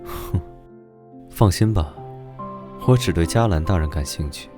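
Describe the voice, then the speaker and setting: A man playing a predatory, teasing aristocrat with a haughty, mocking manner in an animated comic dub. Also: sits low, a little slow, over music. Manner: haughty, mocking, smooth-tongued. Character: arrogant, predatory, teasing young aristocrat duke.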